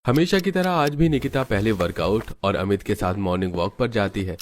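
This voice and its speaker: A man's voice: lowish voice, lively intonation, a little fast, faint music behind. A man narrating a scripted family cartoon story.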